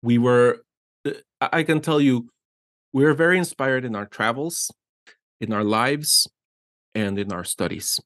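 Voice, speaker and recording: A man's voice: medium pitch, a little slow, pauses often, a bit bright, no room sound, studio quality. A middle-aged man from Guatemala, an art director being interviewed, talking eagerly with many restarts and "right?" tags. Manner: enthusiastic, rambling with frequent restarts and "right?" tags. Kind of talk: casual interview conversation.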